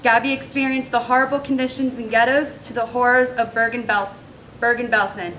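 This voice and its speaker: A young woman reads a prepared speech with earnest, measured phrasing, her voice crisp and bright. She is heard from a distance, with faint noise behind her. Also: a little high, level tone. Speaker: student speaker.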